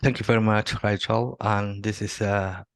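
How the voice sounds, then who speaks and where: A middle-aged man from Somalia, not a native English speaker, answering interview questions haltingly with many fillers and restarts. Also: fairly low pitch, steady tone, creaky, no room sound. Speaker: interviewee, Salesforce admin. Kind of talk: video interview.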